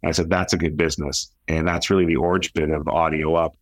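A man's voice: rumbling low, little pitch movement, quick pace, no echo, clean studio sound. A man speaking in an interview as a company founder and chief executive. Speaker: media company founder and CEO, interview guest. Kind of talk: interview talk.

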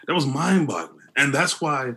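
A man with a deep voice, speaking earnestly as an interview guest, often restarting his sentences. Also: big pitch swings, normal speed, bright, forceful tone, breathy edge. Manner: earnest, with many restarts and fillers. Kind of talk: conversational interview.

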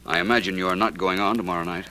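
An actor playing a suave, quick-witted private detective in an old radio drama, urbane and wry. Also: male voice, sits low, fairly even intonation, pushed and bright. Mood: grave, measured. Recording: faintly noisy old radio recording.

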